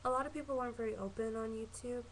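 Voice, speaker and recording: A woman's voice: on the high side, fairly even intonation, racing, a little hiss or hum. A woman in her twenties from Southern California with a Southern Californian accent, talking casually to camera about herself, chatty and earnest with frequent ums and self-corrections. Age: 20s. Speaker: vlogger.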